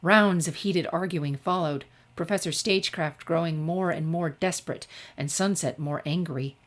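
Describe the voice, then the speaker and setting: A woman's voice: low-pitched, normal speed. A woman narrator reading a scripted fan-fiction story expressively.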